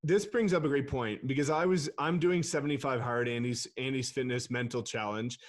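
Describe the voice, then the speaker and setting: A man's voice: medium pitch, brisk. A man, a real estate agent guest, talking bluntly and emphatically in a casual interview and swearing freely.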